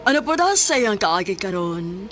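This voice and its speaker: A woman announcing and introducing a radio drama in a formal, measured way. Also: mid-range voice, sing-song. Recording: announcement over music.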